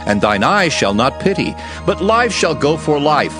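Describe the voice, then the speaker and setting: A man's voice: on the high side, big pitch swings, moderate pace, music in the background. A man narrating dramatized scripture in a solemn, declamatory manner.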